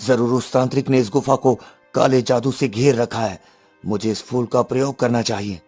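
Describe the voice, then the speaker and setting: A man's voice: mid-range voice, steady tone, normal speed, darkish tone, faint music behind, close to an everyday voice. A man voicing a brave young prince in a cartoon story, speaking formally and resolutely. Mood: determined. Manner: formal, declarative.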